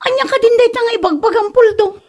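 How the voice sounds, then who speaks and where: A woman playing a sharp-tongued, defiant wife who complains with exasperation in a comedic audio drama. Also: very high-pitched, quick pace. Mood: scornful.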